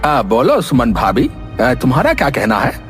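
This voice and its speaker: A man playing a corrupt village headman with a slow, officious manner in an animated moral story. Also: mid-range voice, soft background music. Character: crooked, bribed village headman passing judgment.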